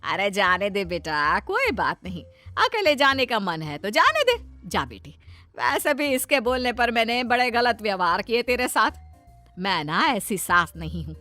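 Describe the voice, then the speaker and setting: A woman's voice: mid-range voice, big pitch swings, unhurried, sharp tone. A woman voicing a middle-aged cartoon mother-in-law, bossy yet indulgent, with an emphatic scolding and coaxing manner. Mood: kindly. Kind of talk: Hindi cartoon family-drama voice acting. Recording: music behind the voice.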